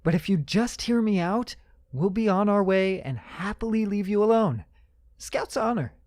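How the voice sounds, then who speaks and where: A man's voice: high voice, lively intonation, moderate pace, darkish tone, no echo, clean studio sound. A man playing a polite, wry married mage on a quest in an audio drama. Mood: persuading.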